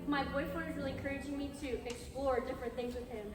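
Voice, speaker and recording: A woman's voice: high-pitched, fairly even intonation, quick pace, slight background noise, natural voice. A young woman playing an insecure girlfriend, projecting an earnest confession in readers theatre. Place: large carpeted conference room, far camera. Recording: echoey hall, distant microphone.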